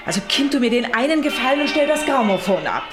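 An actress playing a brisk, weary middle-aged mother on a visit in a German radio play. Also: a little high, big pitch swings, loud music behind the voice. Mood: impatient.

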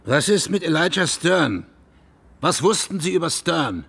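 A man's voice: a little high, very animated, unhurried, creaky, a bit bright, husky, full character voice. A man acting in a radio drama as a blunt, insistent police sergeant with a pressing, commanding manner. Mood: angry, pressing.

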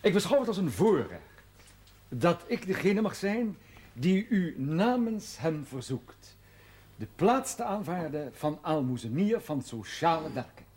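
An actor playing a courteous, flattering, pompous gentleman envoy, speaking in formal, flowery sentences. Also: a little high, unhurried, husky, heavily acted. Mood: solemn, flattering. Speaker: actor in a television drama series. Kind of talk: mannered television drama dialogue.

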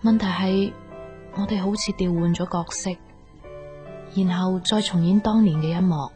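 An actress playing a pensive young woman torn in love, speaking reflectively and softly in a radio drama. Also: fairly low pitch, steady tone, moderate pace, music in the background, lightly acted. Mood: wistful.

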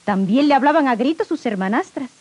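Woman storyteller for kids, highish voice, music behind, old 78 record narration. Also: lively intonation, a little fast. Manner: addresses young listeners directly. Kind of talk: scripted children's story narration.

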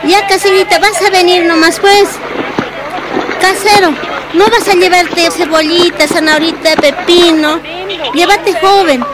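An actress playing a friendly, coaxing market vegetable seller in a radio drama, with a Bolivian accent and sing-song vendor patter. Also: female voice, extremely high pitch, fairly even intonation, a little slow.

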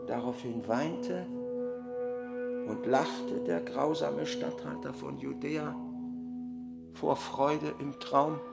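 A man's voice: mid-range voice, slow pace, creaky, long pauses, loud music behind the voice. A man narrating a literary radio drama as its storyteller.

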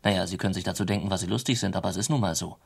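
Ironic pompous narrator, creaky lowish voice, florid mock-formal delivery, radio drama. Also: male voice, very fast, full character voice. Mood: mock-formal, philosophical. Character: wry, pompous male commentator of worlds, addressing listeners.

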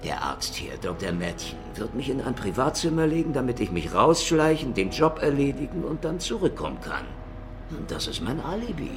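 Animated, argumentative ex-con. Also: male voice, mid-range voice, moderate pace, music in the background. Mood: confident, insistent. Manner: emphatic, argumentative. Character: hot-headed, defensive male ex-convict pitching a heist. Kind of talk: crime radio drama acting.

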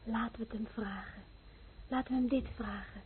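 A grave, very husky woman survivor prophesies doom in a radio play. She declaims solemnly, like an incantation, in a rather high voice on a tinny, muffled old recording. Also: fairly even intonation, fairly quick. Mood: grave, pleading.

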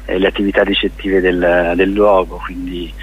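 Male interview guest, lowish husky voice, informal, repeats himself while explaining, muffled radio-link sound. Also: flat delivery, normal speed. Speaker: festival organizer, interview guest. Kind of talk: casual spoken interview.

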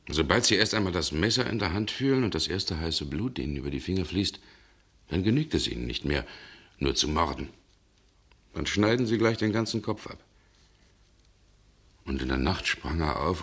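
Brooding gentleman, very low husky voice, grave halting delivery, 1960 radio drama. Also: exaggerated voice. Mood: grim, intense. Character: brooding, haunted Russian gentleman recounting past dangers. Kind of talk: 1960s German radio drama acting. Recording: 1960 radio recording, some background noise.

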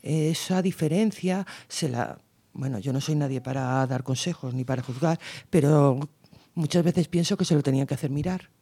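A woman from Guadalajara, Spain, speaking as a political campaigner on a radio panel, earnest and emphatic, slowing down to stress her points. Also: deep voice, warm tone, slightly rough.

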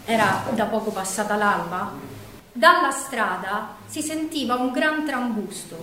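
A woman's voice: fairly high pitch, fairly bright tone. An actress playing a Roman mother who recounts wartime memories in an earnest, dramatic stage monologue. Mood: grave, recollecting. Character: Roman mother recalling saving a neighbour's child in wartime. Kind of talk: theatrical first-person monologue, storytelling. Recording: echoey room, distant mic, noisy.

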